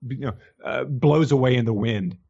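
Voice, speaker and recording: A man's voice: mid-range voice, normal speed, creaky, mellow, breathy edge, muffled recording. A man co-hosting a podcast in casual, wry discussion of a book, often rephrasing mid-sentence. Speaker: podcast co-host.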